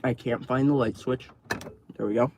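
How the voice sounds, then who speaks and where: A man reading horror stories on a live stream, outdoors at night, casual and tripping over words, his voice going hoarse. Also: medium pitch, steady tone, moderate pace, darkish tone, a little hiss or hum. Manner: swears freely, stumbles over words. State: losing his voice.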